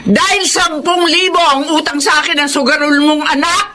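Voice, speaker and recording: Shrill, menacing female moneylender. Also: steady tone, normal speed, slight background noise. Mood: furious, threatening. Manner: forceful, menacing. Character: angry, threatening female moneylender out to collect debts. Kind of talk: Tagalog radio drama acting.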